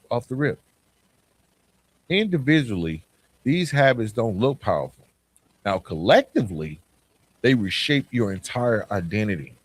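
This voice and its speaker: A man hosting a motivational self-improvement podcast, speaking conversationally and stressing his points with deliberate, repeated phrasing. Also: medium pitch, no room sound. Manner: conversational, informal, with "right?" check-ins. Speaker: podcast host.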